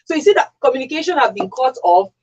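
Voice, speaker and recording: A woman's voice: sits high, animated, quick pace, darkish tone, dull audio, slight background noise. A woman with a Nigerian accent speaking as a radio panelist, emphatic and conversational, repeating herself and posing rhetorical questions. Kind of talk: opinionated conversational discussion.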